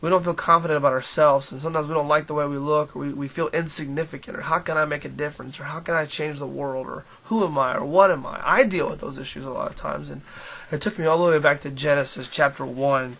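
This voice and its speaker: A man with a hoarse voice giving an earnest, encouraging daily devotional vlog, full of fillers and restarts. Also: fairly high pitch, fairly even intonation, fast talker, pushed and bright. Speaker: church leader giving a daily video devotional.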